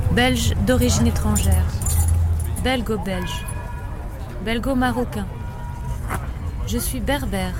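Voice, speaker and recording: A woman giving calm, short phrases about herself, one at a time. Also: on the high side. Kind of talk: short spoken self-descriptions. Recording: outdoor field recording with street noise. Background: traffic and city ambience.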